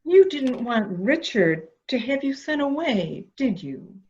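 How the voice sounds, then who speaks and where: An actress plays a calculating woman in an amateur mystery drama, coaxing and deliberate. Her pace is slow and her pitch swings widely. The recording is slightly dull and lo-fi. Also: sing-song, darkish tone, full character voice. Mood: coaxing, goading. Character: calculating woman coaxing a dangerous childlike man. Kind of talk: amateur radio-play acting.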